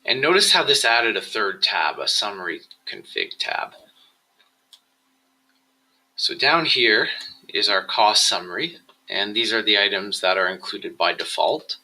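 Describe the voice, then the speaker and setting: A man presenting a software tutorial, explaining each step in a measured, instructional screencast style. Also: fairly low pitch, expressive, low-quality recording.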